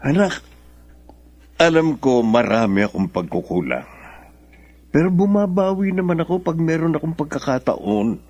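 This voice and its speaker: A man acting in a Filipino radio drama as a smooth-talking, insistent older businessman father. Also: a little high, big pitch swings, moderate pace, long pauses, darkish tone. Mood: affectionate, placating.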